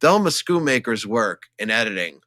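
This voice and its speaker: A man's voice: medium pitch, very animated, moderate pace, bright, forceful tone, no room sound, studio quality. A man co-hosting a casual film podcast, talking enthusiastically and emphatically in a conversational way. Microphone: studio microphone, close.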